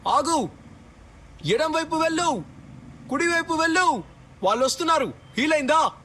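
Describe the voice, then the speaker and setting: A man voicing an urgent, excitable squadmate in a battle royale video game, giving clipped commands. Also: very high-pitched, normal speed, exaggerated voice. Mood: urgent commanding. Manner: clipped, imperative callouts. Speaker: game character voice.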